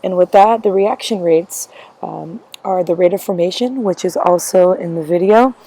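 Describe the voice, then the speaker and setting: A woman's voice: lowish voice, normal speed, warm tone, low-quality recording. A young woman who is losing her voice, giving a class presentation with frequent ums and a loose, rambling delivery. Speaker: student presenter.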